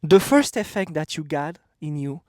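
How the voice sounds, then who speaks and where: A man's voice: a little high, lively intonation, moderate pace, no echo, studio quality. A man in his thirties with a French accent, giving a blunt, emphatic motivational talk to camera outdoors. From France. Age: 30s. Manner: blunt, emphatic, repeats "okay?". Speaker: vlogger.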